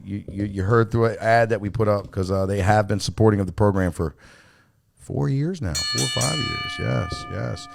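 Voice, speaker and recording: Low-voiced man swearing through casual talk. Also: creaky. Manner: swears a lot, fillers like "you know what I mean". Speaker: podcast host. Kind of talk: casual profane chat. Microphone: studio mic.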